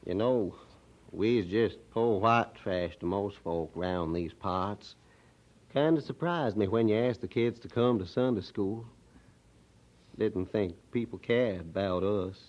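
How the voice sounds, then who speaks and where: Radio drama role: poor Southern country drinker, folksy, ashamed, deep-ish voice, muffled vintage sound. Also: male voice, a little mellow. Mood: sheepish, grateful. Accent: rural Southern American. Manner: halting, folksy, ungrammatical. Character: gruff, humble poor rural Southern drunkard, softening and ashamed. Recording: dull old radio drama recording.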